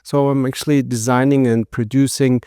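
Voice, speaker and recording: Middle-aged man, German native speaker, halting with lots of fillers, smooth resonant tone, dry close sound. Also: mid-range voice, flat delivery, dark tone, no echo. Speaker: guest, textile manufacturer. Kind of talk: casual interview answers.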